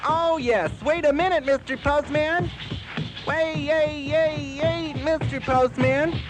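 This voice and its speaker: A man's voice: extremely high pitch, steady tone, takes it slowly, music in the background. A man voicing a childlike, scatterbrained birthday fairy in a radio comedy sketch, whiny, eager and dithering. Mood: sheepish, excitable. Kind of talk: comic radio sketch character.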